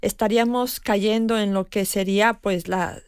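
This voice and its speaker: A woman in her fifties, a guest on a radio talk show, speaking in a thoughtful, measured way with frequent pauses and hesitations. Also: medium pitch, fairly even intonation, clean tone, no room sound, clean studio sound. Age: 50s. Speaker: guest expert on language learning. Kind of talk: radio conversation.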